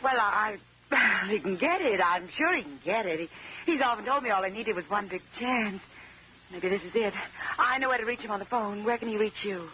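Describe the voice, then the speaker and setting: A woman's voice: mid-range voice, lively intonation, brisk, close to an everyday voice. A woman playing a flighty, money-hungry wife in a 1940s radio drama. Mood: eager, greedy. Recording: dull, slightly noisy 1940s radio recording.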